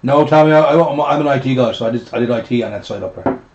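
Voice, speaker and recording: Gravelly, laughing man. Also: medium pitch, quick pace, darkish tone, echoey room, muffled recording, a little hiss or hum. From Newfoundland. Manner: chatty, laughs often, stumbles over words. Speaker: co-host. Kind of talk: casual live-stream chat.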